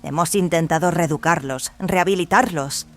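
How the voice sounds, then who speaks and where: An actor voicing a gentle female clone in an audio drama, explaining things earnestly and pleadingly. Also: medium pitch, normal speed, lightly acted. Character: soft-spoken, pleading female clone, peaceable and evasive. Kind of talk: dramatized audio fiction acting. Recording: music behind the voice.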